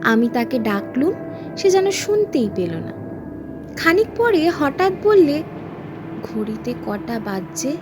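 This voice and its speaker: A woman narrates a ghost story in a high, swooping voice. She takes her time over each phrase, reading dramatically as a storyteller, with faint music underneath. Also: very animated, slow.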